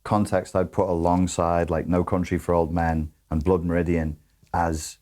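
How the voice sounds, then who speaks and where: A man's voice: low-pitched, little pitch movement, normal speed, dark tone. A man hosting a casual conversation, asking probing questions and making wry jokes. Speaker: host.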